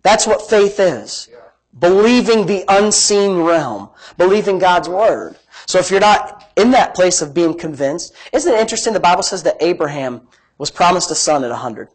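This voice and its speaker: Male preacher, high-pitched big voice, folksy and emphatic teaching delivery, repetitive for emphasis. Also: animated, normal speed, breathy edge, rough recording. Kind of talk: sermon, conversational teaching. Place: live-streamed church service, amplified.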